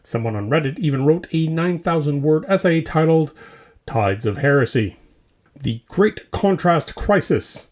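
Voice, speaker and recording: A man's voice: on the high side, darkish tone. A man hosting a scripted mock news show, delivering it like a theatrical, mock-serious newscaster. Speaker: host of a hobby news show.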